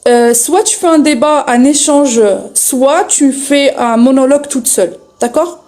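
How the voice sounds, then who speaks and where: High-voiced woman, sarcastic and sharp. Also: measured pace. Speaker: live stream host. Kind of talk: casual live stream debate.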